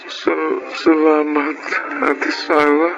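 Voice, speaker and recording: A man playing a meek, ailing young adult in a Filipino radio drama, speaking in a subdued, halting way. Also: a little high, completely flat delivery, loud music behind the voice. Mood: grateful, meek. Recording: dull lo-fi sound, faint background noise.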